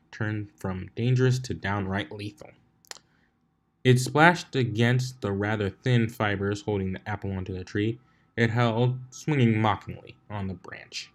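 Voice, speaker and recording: A man with a fairly low voice reads fiction aloud. He works as the narrator, delivering scripted prose between the characters' lines. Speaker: fan fiction reader, narrator.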